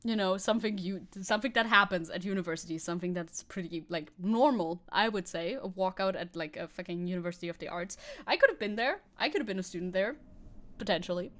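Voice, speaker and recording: A woman from Germany, a streamer, talking bluntly through the news in casual chat and swearing often. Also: quick pace. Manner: blunt, swears often, lively pitch jumps. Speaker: VTuber streamer.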